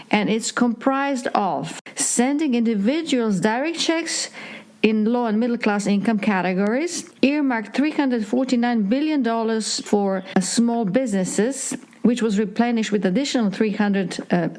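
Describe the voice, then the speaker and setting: A woman co-hosting a public affairs interview, reading a formal briefing and questions in a deliberate way. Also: fairly high pitch, a little mellow. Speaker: co-host of a public affairs show.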